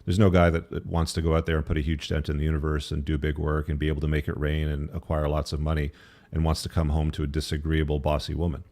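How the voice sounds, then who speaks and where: A man's voice: very deep voice, monotone, fast, darkish tone. A man speaking as an interview guest, explaining his opinions in a conversational, opinionated way. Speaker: podcast guest, author.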